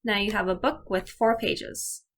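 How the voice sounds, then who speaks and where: A woman's voice: mid-range voice, steady tone, measured pace, slight background noise. A woman speaking as an elementary teacher, giving children step-by-step instructions in a video lesson. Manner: clear step-by-step instructing, addressing pupils directly.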